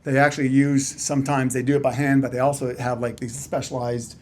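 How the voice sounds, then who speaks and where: A man's voice: medium pitch, little pitch movement, brisk. A man in his forties with a Canadian accent, a chiropractor chatting casually as an interview guest, rambling through stories with dry, self-deprecating humor.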